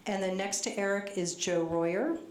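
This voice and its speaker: A soft-spoken woman, a state agency official, presenting at a public community meeting, a little nervous and reading from her notes. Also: medium pitch, moderate pace. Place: meeting hall. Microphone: handheld microphone. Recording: echoey hall with faint noise.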